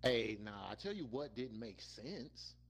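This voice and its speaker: A man co-hosting a casual chat show, speaking in slangy, expressive, exclamatory bursts. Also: mid-range voice, a little slow, gravelly, crowd noise. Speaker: podcast co-host. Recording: noticeable background noise with hum.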